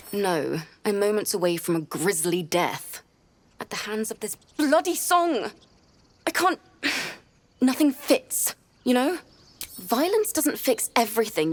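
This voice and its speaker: A woman playing a frustrated, earnest, self-doubting bard in a video game. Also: medium pitch. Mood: exasperated. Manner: earnest, exasperated. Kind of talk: cinematic video game dialogue.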